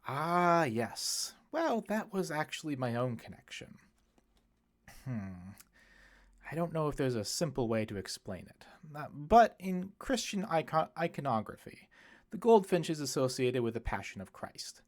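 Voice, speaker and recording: A man voicing a kindly, musing priest in an audiobook, speaking in a reflective, explanatory way with gentle wit. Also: a little high, sing-song, unhurried, voice pushed up. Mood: thoughtful. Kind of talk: audiobook character dialogue.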